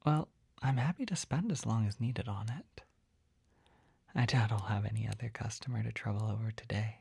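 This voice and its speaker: An actor voicing a shy, flustered, gentle poison-selling apothecary in a soft, breathy, hesitant whisper for an ASMR roleplay. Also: medium pitch, no echo. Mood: gentle, helpful. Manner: hesitant, halting, apologetic, easily embarrassed.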